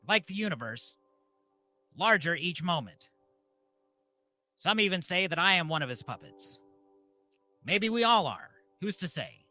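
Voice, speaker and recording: A man hosting a comedy ceremony like a mock-solemn preacher, deadpan, speaking in deliberate short phrases. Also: a little high. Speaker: host and mock officiant of a comedy live show.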